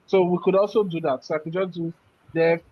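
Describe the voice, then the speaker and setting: A man's voice: high-pitched, fairly even intonation, a little fast. A man teaching a programming class. He walks through code step by step and keeps checking in with "right?". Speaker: programming instructor. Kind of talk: live coding class explanation. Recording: muffled, dull audio.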